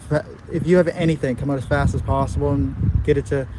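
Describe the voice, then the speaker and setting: A man's voice: medium pitch, fairly even intonation, fairly quick. A man giving spontaneous answers as a stepfather in a news interview. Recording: very noisy outdoor news recording.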